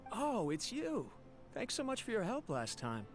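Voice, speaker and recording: A man's voice: sits high, wildly swooping pitch, measured pace, heavily acted. An actor playing a friendly, polite and eager young male attendant in an anime video game. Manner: polite, chatty, earnest. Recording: music behind the voice.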